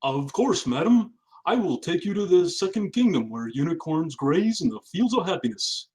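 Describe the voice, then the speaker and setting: A man acts a pompous fairy-tale prince in a comic script read. His voice sits high for a man. He speaks with haughty, flustered courtliness, gallant on the surface and evasive beneath. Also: big pitch swings, moderate pace, darkish tone. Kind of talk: table read of a comedy film script.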